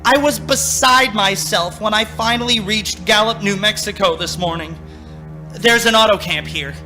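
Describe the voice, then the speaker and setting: An actor in a radio play playing a frightened young man who narrates his ordeal as he unravels, intense and halting. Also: high-pitched, over music, lightly acted. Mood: weary, desperate. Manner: intense, haunted, halting under strain. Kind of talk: dramatic radio play acting.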